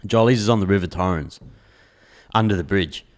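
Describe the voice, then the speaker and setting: A man's voice: deep voice, a little fast. A young adult Australian man hosting a podcast, telling stories bluntly and swearing in nearly every sentence. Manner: swears constantly, blunt storytelling. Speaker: podcast host. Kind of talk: casual podcast chat.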